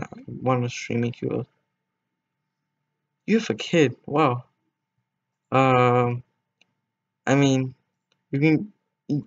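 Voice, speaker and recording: A man's voice: mid-range voice, muffled recording. A teenage boy streaming a game, chatting casually with viewers in a rambling way, voice cracking now and then. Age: teens. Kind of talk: casual gameplay stream chat.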